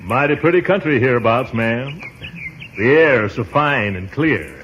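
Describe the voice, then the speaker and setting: A man's voice: mid-range voice, animated, unhurried, close to an everyday voice. Man acting a blustery old-radio uncle, noisy recording. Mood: courting, folksy. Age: middle-aged. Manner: blustery, theatrical delivery. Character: pompous, grumbling, easily flattered middle-aged uncle. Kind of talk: 1940s radio comedy acting.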